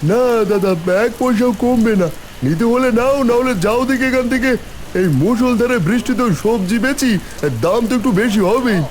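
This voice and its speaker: A man's voice: very high voice, moderate pace. A man voicing blunt, folksy village men in a cartoon, such as an uncle or a vegetable seller. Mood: stubborn. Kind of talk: Bengali cartoon voice acting.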